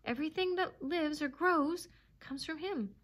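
Woman voicing a frenzied, high, yapping hound. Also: sing-song, slow pace, heavily acted. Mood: fervent, indignant. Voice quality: rasping, yelping bark. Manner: shouting, repetitive, insistent. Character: frenzied yapping dog, fanatically devoted to its master.

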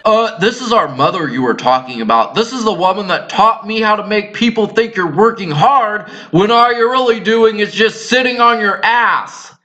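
A man voicing a sarcastic, deadpan, defiant adult daughter from a working-class cartoon family. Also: high voice, moderate pace, few pauses, very noisy.

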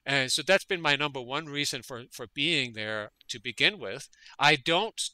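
A man's voice: medium pitch, animated, moderate pace, sharp tone, dry recording, low-quality recording. A man from Denmark, a veterinary parasitologist, speaking as a guest in an interview. He talks earnestly and often repeats words as he gathers his thoughts. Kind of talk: conversational interview.

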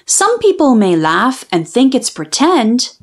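A woman reading a children's picture book aloud in an expressive, sing-song storytelling manner, drawing out the rhyming lines. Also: fairly high pitch, takes it slowly.

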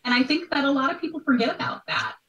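A woman's voice: sits high, fast talker, a bit bright, highs cut off. A talkative woman, likely in midlife, explaining things emphatically in a conversational interview over a video call. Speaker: podcast host and art historian, interview guest. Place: small bright room at home. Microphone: computer mic on a video call. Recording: roomy echo, distant mic, video call.

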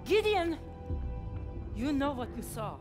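A tough female soldier in a dramatic game cutscene, with a high, animated voice. She is blunt, urgent and outraged, over a clear musical score. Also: takes it slowly, music in the background, exaggerated voice.

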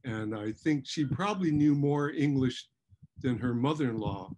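An older man speaking in a measured way as a panelist on a video call, pausing to clear his throat. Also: medium pitch, expressive, darkish tone, a little hiss or hum. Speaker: panelist, historian. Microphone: webcam on a video call.

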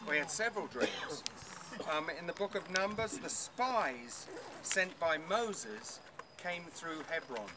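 A man's voice: fairly high pitch, lively intonation, unhurried. A middle-aged man leading a group tour outdoors, chatty with many ums and quizzing his listeners. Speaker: tour guide. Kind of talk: outdoor guided tour talk. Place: outdoors by an old stone wall. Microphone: far camera. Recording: noisy outdoor recording.

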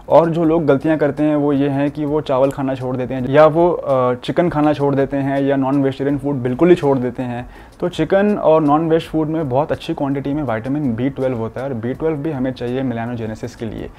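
A man's voice: mid-range voice, mellow. A young man, a doctor, explaining health advice to camera in an earnest, explanatory way, mixing English medical terms into his talk. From India. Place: furnished studio room with curtains, indoors.

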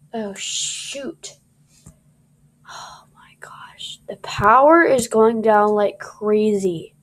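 A woman's voice: mid-range voice, very slow, pauses often. A young woman with a scratchy voice doing casual gameplay commentary, jittery and cursing as she talks to viewers.

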